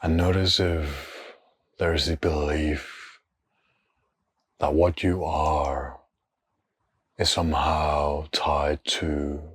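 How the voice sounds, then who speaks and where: A man leading a guided meditation in a hushed, breathy, husky voice, speaking in short unhurried phrases with long pauses between them. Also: rumbling low, little pitch movement.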